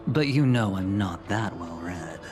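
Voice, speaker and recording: A man's voice: low-pitched, animated, measured pace, music in the background. A man voicing a suave, teasing, carefree gambler in a video game, playful and theatrical. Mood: playful bravado. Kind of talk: dramatic video game voice acting.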